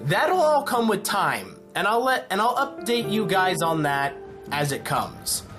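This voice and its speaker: An excited channel host making a casual announcement, talking in an emphatic, rambling way. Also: mid-range voice, measured pace. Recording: music behind the voice. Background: music.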